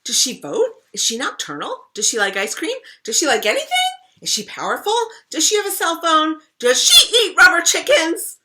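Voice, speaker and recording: A woman reading a storybook aloud, voicing an excitable little girl who fires off endless questions in a squealing voice. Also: on the high side, voice pushed up, exaggerated voice. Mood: eager. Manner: squealing, rapid-fire questions. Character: excitable little girl, pesky youngest sister.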